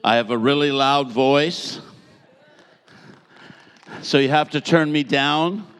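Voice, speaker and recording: Middle-aged male preacher, light higher voice, jovial, hall reverb. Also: fairly bright tone, clean tone. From Canada. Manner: jovial, joking, pausing for translation. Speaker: visiting preacher. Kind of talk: sermon with live interpreter. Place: church hall.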